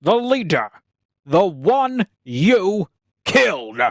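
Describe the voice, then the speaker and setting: A man's voice: high-pitched, very animated, dry recording, studio quality, full character voice. A man playing an earnest, anguished idealist in an impassioned, theatrical radio play reading. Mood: bitter. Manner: impassioned, dramatic, drawn-out delivery. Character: earnest, anguished male idealist pleading for peace.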